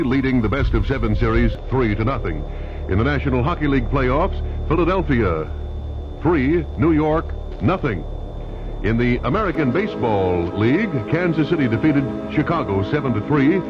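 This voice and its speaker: A man reading sports scores and weather on the radio in a formal news style. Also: medium pitch. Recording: dull old radio broadcast, music behind.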